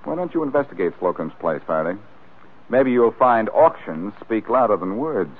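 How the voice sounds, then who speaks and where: An actor playing a cocky, breezy, wisecracking amateur detective in a 1940s radio drama. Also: male voice, fairly low pitch, very animated, moderate pace. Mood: confident. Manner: breezy, teasing. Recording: tinny band-limited 1940s radio recording.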